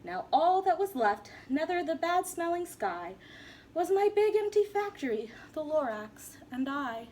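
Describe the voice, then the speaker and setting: A woman teacher reading a rhyming picture book aloud in an animated, sing-song way. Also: high voice, measured pace, slight background noise. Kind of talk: storybook read-aloud.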